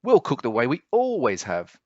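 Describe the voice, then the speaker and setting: A man's voice: medium pitch, wildly swooping pitch, moderate pace, dry recording, dull audio, voice pushed up. A man voicing a bossy, emotional cat in a children's storybook. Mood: scornful. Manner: snappy, emotional. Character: bossy scoffing storybook cat, prone to wailing.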